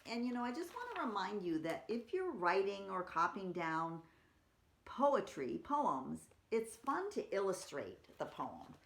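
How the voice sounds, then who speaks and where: A woman's voice: medium pitch, sing-song, unhurried. A woman reading poetry aloud for children and chatting between poems, explaining simply and reading expressively. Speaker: library story-time reader. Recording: roomy, echoey room sound.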